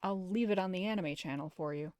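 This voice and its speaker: A woman's voice: fairly low pitch, fast, a little mellow, no echo, lightly acted. A woman voicing a shy, awkward boy who is hesitant and earnest, in a visual novel. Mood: relieved, eager. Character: awkward high school boy, shy anime fan, apologetic. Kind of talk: visual novel dialogue read aloud.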